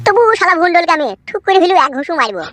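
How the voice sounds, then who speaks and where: Man playing sheepish, fast-talking village son-in-law. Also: very high-pitched, lively intonation, pushed and bright, full character voice. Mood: stubborn anger. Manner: hesitant, bumbling, whiny protesting. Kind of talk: Bengali cartoon acting.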